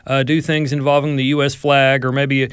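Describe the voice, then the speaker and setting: A man with a Southern US accent, a news guest on a radio talk show, explaining current events in a relaxed, conversational way with frequent hesitations. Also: medium pitch, moderate pace, clear, resonant tone, no echo, studio quality. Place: radio studio.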